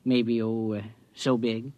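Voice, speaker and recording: A man playing a pious, meek neighbor with an apologetic, halting, creepily earnest manner in a vintage radio drama. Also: mid-range voice, little pitch movement, warm tone, no room sound, close to an everyday voice. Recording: dull vintage radio recording.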